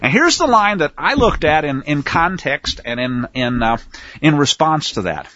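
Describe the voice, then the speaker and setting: A man's voice: on the high side, very animated, normal speed, sharp tone, dry recording, lo-fi sound. A man teaching from the Bible in a folksy, emphatic way, with sarcastic asides and frequent restarts. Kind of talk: folksy scripture commentary.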